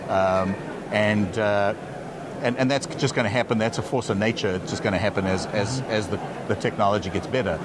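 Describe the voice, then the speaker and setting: A man's voice: lowish voice, brisk, a loud crowd. A man talking as a company executive in a trade show interview, often stumbling and repeating words at the start of his phrases.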